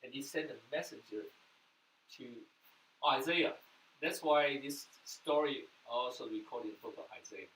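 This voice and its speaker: A man's voice: medium pitch, moderate pace. Man preaching in an echoey room. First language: Mandarin. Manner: earnest preaching, sudden loud bursts then soft drops. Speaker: pastor. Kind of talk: sermon. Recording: echoey room, mic at a distance, faint noise.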